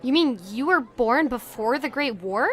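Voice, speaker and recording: Naive, curious young woman, acted, slightly high voice. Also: lively intonation, a little slow, soft background music. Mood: amazed, curious. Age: young adult. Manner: hesitant, eagerly inquisitive. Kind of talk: audio drama acting.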